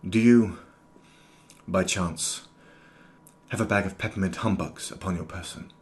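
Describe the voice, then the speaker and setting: A man playing an erudite, formal nineteenth-century doctor in an audio drama, speaking in precise, ornate sentences with a husky voice. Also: sits low, measured pace, a little hiss or hum. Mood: polite, composed. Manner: precise, ornate, old-fashioned diction. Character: erudite, formal 19th-century doctor, inwardly fearful, sharp-eyed. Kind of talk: scripted audio drama, journal narration and dialogue.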